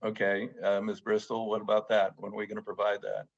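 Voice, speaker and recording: A man questioning witnesses at a formal hearing in a halting, informal way. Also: on the low side, monotone. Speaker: committee member questioning witnesses. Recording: dull, lo-fi meeting audio.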